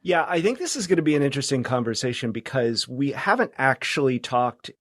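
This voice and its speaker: Man, middle-aged, American accent, podcast guest, casual reminiscing, halting, mid-range voice, very dry sound. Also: medium pitch, big pitch swings, no room sound.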